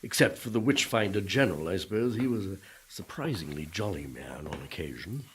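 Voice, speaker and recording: An actor playing the gloomy, repentant ghost of a Puritan pastor in a radio play, wistful and self-pitying in old-fashioned formal speech. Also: male voice, low-pitched, animated, a little fast, fairly bright tone, slight background noise. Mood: wistful, glum.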